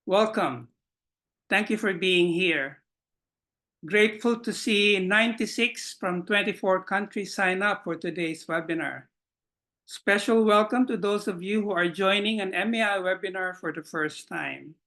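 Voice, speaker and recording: A man hosting an online webinar, welcoming and introducing in a measured, courteous, formal way over a video call. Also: sits high, pushed and bright. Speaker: webinar host.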